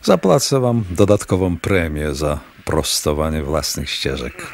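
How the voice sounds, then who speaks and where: Menacing lecherous boss type in old radio play, really low voice, bit of hiss. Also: male voice, sing-song, measured pace, gravelly, exaggerated voice. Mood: threatening. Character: menacing, lecherous, domineering man giving orders. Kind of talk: Polish radio drama acting.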